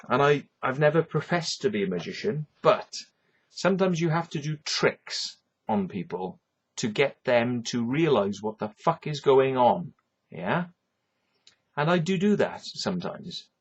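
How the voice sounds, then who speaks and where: Welsh guy ranting into his camera, swears a lot, keeps saying yeah, slow and husky, muffled cheap audio. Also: medium pitch, very animated. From Wales. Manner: rambling, swearing, repeats yeah and right as tags. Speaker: vlogger.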